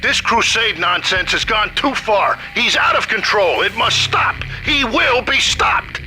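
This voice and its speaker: A man's voice: high voice, expressive, a little slow, faint music behind, exaggerated voice. An actor playing an angry male police chief making an emphatic, declamatory press statement in a game. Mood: angry, resolute. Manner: forceful, declamatory.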